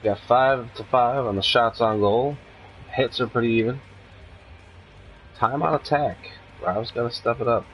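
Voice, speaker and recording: A man's voice: medium pitch, unhurried, a bit bright, faint music behind, poor audio. A man co-hosting a gaming show, talking casually in laid-back slang as he plays. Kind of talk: casual chat while playing a video game.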